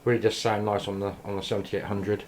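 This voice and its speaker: Male hobbyist vlogger, lowish voice, loose and hesitant show-and-tell delivery, caught on a handheld camera mic with light noise behind. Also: level tone, fast talker, darkish tone. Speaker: amateur radio operator filming shack tour. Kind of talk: casual handheld video tour, ad-lib commentary. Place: small indoor radio room. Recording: noisy handheld camera sound.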